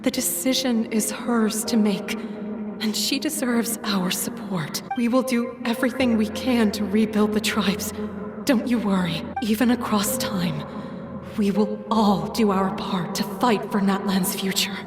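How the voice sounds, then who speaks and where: A woman playing a gentle, supportive mother in a fantasy video game, speaking tenderly and reassuringly. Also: medium pitch, a little slow, lightly acted. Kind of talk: dramatic video game voice acting. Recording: distant, echoey, under music.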